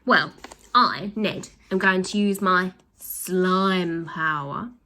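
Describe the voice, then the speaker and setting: A defiant, determined young boy hero, as a woman puts him on for a storybook. He speaks slowly and with firm resolve. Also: lowish voice, sharp tone.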